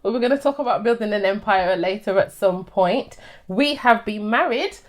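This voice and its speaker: A woman in her thirties hosting a casual chat recorded at home, telling stories with animated energy. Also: mid-range voice, normal speed. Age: 30s. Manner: lively, animated storyteller. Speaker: host.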